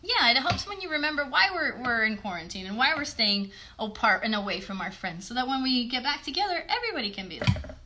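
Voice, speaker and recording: A woman's voice: fairly high pitch, very animated, fast talker, pushed and bright. A woman in her thirties chatting encouragingly with children as a puppet show host, with simple questions and praise. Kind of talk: casual, encouraging chat with children. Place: bedroom at home. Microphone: phone a short distance away.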